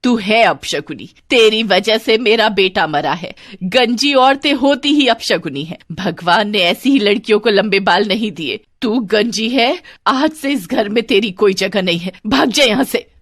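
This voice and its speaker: A woman's voice: lively intonation, measured pace. A woman voicing a harsh, scolding middle-aged mother-in-law in a cartoon story. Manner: shrill, scolding.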